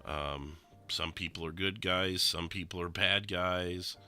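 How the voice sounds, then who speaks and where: Young man, very low voice, sharp bright tone, nasal and rough, rambling gamer chat. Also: takes it slowly, soft background music. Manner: relaxed, rambling explanations with many fillers. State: nasal and rough from recent illness. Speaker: streamer explaining a roleplay server. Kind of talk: casual gameplay commentary.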